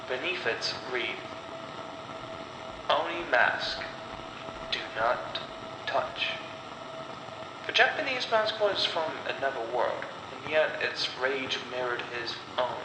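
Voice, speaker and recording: Slow, ominous male horror storyteller in reverb. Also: mid-range voice. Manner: ominous, dramatic delivery with deliberate pauses. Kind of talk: scripted narration of horror tales. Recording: echoey long reverb, loud background noise.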